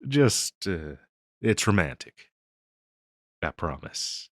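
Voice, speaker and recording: Man playing a deep-voiced, tender harpy boyfriend. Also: sing-song, slow pace, dry recording, clean studio sound, full character voice. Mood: soothed, loving. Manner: hesitant, teasing, tender, with small chuckles. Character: affectionate, flustered young male harpy boyfriend. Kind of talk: intimate boyfriend audio roleplay.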